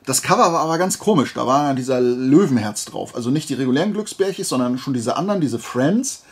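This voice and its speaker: A man's voice: medium pitch, expressive, brisk, husky. A man chatting casually to viewers as a video creator, colloquial and playful, wandering off into little digressions. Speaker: video creator presenting flea market finds. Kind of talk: casual rambling chat to viewers.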